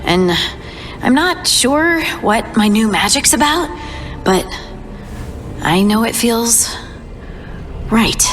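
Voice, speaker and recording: An actress playing an earnest, thoughtful young dwarf scout who speaks hesitantly. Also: female voice, on the high side, sing-song, slow pace, pauses often. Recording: game dialogue with music behind.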